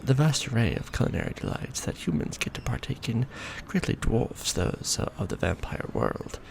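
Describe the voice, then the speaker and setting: A man playing a centuries-old vampire lord in a romantic ASMR roleplay, proud and doting, speaking formally with theatrical, measured phrasing. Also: on the low side, wildly swooping pitch, vocal fry, background noise, exaggerated voice. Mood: musing, refined.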